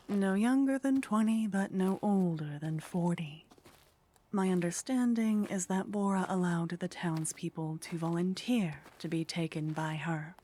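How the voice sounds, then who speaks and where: A woman playing a teasing, condescending female vampire in a soft ASMR roleplay, languid and haughty, often slipping into whispers. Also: lowish voice. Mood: sly, condescending. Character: teasing, condescending female vampire, sly, centuries-worldly contact.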